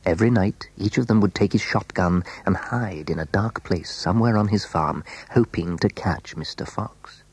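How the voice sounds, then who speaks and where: A man narrating a scripted children's story in a measured, dramatic way, letting phrase endings fall hushed. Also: deep voice, slightly rough, no room sound.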